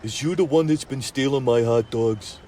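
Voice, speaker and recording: A man's voice: medium pitch, moderate pace, mellow, a little hiss or hum, natural voice. A man voicing a young male hot dog vendor who talks in tough street slang and is sweet on a girl. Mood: gruff.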